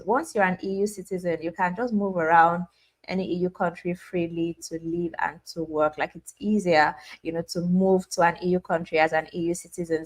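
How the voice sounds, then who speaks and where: A young woman hosting a casual live stream, chatting and reading out viewers' names with many restarts and fillers. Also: on the low side. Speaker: livestream host.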